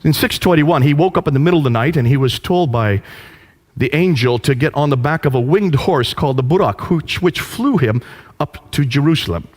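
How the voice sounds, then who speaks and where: A man giving a lecture to an audience, telling a story with animated delivery and rhetorical questions. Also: on the high side, brisk.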